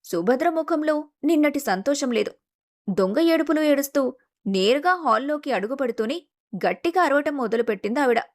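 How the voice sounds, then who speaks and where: A woman's voice: sits high, animated, normal speed. A woman reading a scripted family story as a dramatic narrator.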